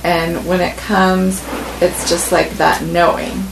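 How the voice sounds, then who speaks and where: A woman's voice: fairly low pitch, little pitch movement, slow, vocal fry, roomy sound, background noise. A woman in a spiritual study group, sharing her thoughts hesitantly with many fillers. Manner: hesitant, many ums and likes.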